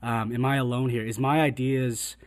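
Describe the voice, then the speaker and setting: A man, a writer and director, talking reflectively in a casual panel conversation, halting with many fillers and restarts. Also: medium pitch, flat delivery, no echo.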